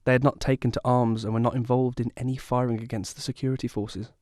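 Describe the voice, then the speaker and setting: A man's voice: mid-range voice, flat delivery, fast talker, darkish tone, no echo, studio quality, lightly acted. A man playing a bereaved young survivor, giving dramatised first-person narration of a family tragedy. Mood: sombre.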